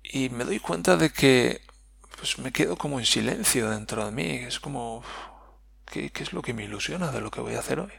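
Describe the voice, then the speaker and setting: A man with a Spain Spanish accent hosting a reflective personal podcast, thinking aloud with pauses and restarts. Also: mid-range voice, animated, gravelly, a bit bright, breathy edge, no echo. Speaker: podcast host.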